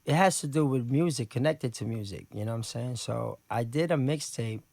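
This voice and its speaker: A man's voice: mid-range voice, vocal fry, a little mellow, dry recording. A man who is a rapper with a Brooklyn accent, talking casually in an interview, rambling with many restarts and fillers. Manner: rambling, halting with many restarts and "you know what I'm saying". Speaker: rapper and guest.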